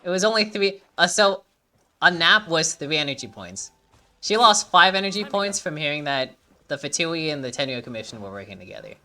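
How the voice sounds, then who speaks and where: Low-voiced, animated young woman gamer. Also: fairly quick, soft background music. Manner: animated, thinking aloud, reading game text aloud, swearing casually. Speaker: gaming streamer. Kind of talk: casual gameplay commentary.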